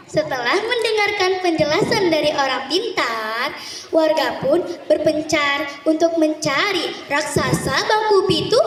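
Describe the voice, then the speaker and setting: Woman, theatrical folk-tale narration, deliberate dramatic pauses, far from the microphone, long hall reverb. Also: sits high, fairly bright tone. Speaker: storytelling contestant. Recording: echoey hall, distant microphone.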